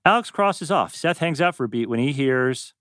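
Male script narrator, mid-pitched, lively varied intonation, very dry sound. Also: moderate pace, no room sound. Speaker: stage-direction narrator. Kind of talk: reading screenplay directions at a table read.

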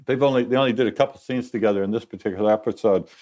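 A man's voice: on the low side, racing, a little mellow. A man in his sixties, a TV director and guest, telling stories in a rambling, candid, self-correcting way. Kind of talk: candid conversational storytelling.

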